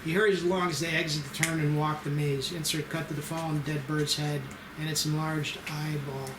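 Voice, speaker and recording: A man's voice: a little high, little pitch movement, fairly quick. A man narrating scene directions aloud at an amateur screenplay table read. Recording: roomy echo with clear noise.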